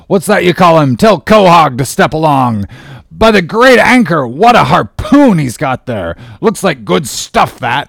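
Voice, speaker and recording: A man voicing a gruff, blustering old whaling captain from an audiobook, with loud, exclamatory archaic nautical speech. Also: fairly high pitch, no echo, clean studio sound, pitched above the performer's own voice, heavily acted.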